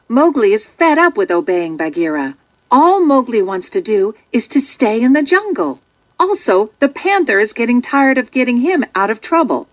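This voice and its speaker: A woman narrating a children's storybook from a script in an expressive, dramatic storytelling manner. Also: on the high side, dry recording.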